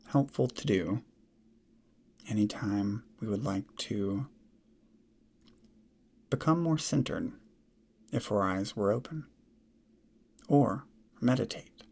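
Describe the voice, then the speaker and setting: A man guiding a meditation in soft, breathy, measured short phrases with long pauses, often trailing into a near whisper. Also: mid-range voice. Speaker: meditation guide. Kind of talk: guided meditation, slow instruction.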